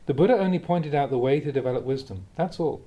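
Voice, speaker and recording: A man, a Buddhist monk, reading a dharma talk aloud in a measured, reflective way with the occasional soft chuckle. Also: a little high, highs cut off.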